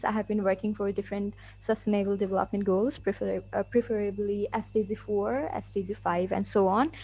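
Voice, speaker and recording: A woman from Nepal introducing herself on a video call, eager and upbeat. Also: medium pitch. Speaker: program delegate. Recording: dull video call audio.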